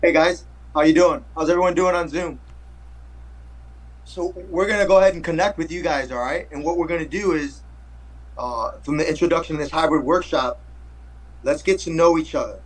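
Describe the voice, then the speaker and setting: A man's voice: on the high side, moderate pace, long pauses, breathy edge, low-quality recording. A man facilitating a hybrid workshop, greeting people casually and explaining what to do. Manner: upbeat, casual, giving instructions.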